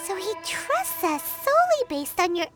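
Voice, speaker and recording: Small cheerful, anxious game fairy. Extremely high, swoopy, childlike. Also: female voice, big pitch swings, normal speed. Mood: curious. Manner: speaks of self in third person. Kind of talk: video game voice acting. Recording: music playing behind the voice.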